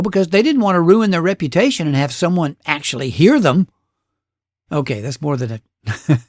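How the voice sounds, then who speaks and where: A man's voice: a little high, no room sound, studio quality. A man hosting a radio show, narrating history from a script with casual asides in a folksy, conversational storytelling way.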